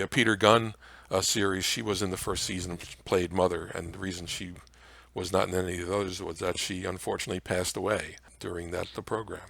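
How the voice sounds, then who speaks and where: Low-voiced man giving a dry-sounding talk. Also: gravelly, a bit bright, no echo. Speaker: presenter. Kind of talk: informal slide lecture.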